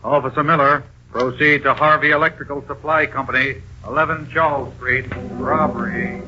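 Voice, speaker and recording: An actor playing a dutiful, efficient police dispatcher with clipped, official delivery in a vintage radio crime drama. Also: male voice, mid-range voice, steady tone, normal speed, noisy background, natural voice. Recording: muffled old-time radio recording.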